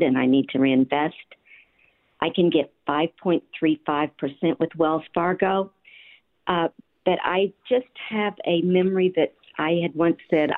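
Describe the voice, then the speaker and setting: Low-voiced woman on a phone line. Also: dry recording. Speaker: caller. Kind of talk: polite conversational question. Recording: muffled narrow phone line.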